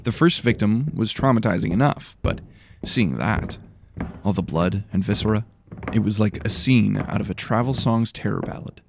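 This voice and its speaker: Male narrator, lowish pitch, gravelly creak, dramatic fantasy storytelling, faint background noise. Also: moderate pace.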